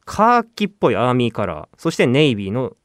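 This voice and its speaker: 男性がラジオ番組の進行役の一人として、丁寧な言葉で説明しながら気軽に会話している。. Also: male voice, mid-range voice, wildly swooping pitch, quick pace, no echo, studio quality. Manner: polite, explanatory speech. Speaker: podcast co-host. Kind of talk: casual explanatory radio chat.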